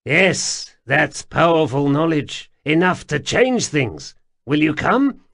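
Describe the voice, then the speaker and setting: Man voicing a grimy cave-dweller male, loud, excitable, wheedling, high and lively. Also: slow, vocal fry, full character voice. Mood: agitated.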